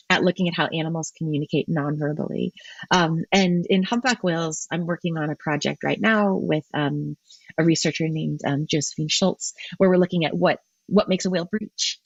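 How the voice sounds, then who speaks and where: A woman's voice: on the low side, husky, no room sound, muffled recording. A woman, a marine ecologist, answering interview questions in a thoughtful way with frequent ums and restarts.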